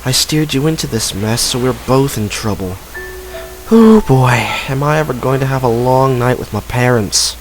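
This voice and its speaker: A man's voice: mid-range voice, expressive, moderate pace, soft background music, lightly acted, poor audio. A man playing an earnest, loyal, adventurous teenage boy sailor in an amateur audio drama. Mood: glum.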